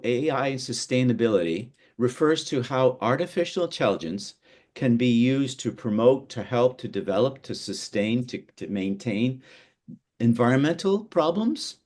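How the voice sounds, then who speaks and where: Middle-aged male teacher from Canada, steady mid pitch, stop-start delivery full of fillers, over a video call. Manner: halting, many fillers and repeated words. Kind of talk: online workshop lesson.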